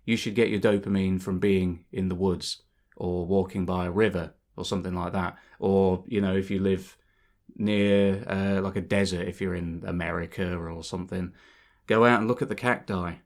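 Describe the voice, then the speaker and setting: A man's voice: low voice, steady tone, normal speed. A young man talking over gameplay in a rambling, reflective way, a bit bunged up and sore-throated from a cold, with many fillers and restarts. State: congested from a cold. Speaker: channel host. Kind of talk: rambling casual monologue. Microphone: voice-over over gameplay footage.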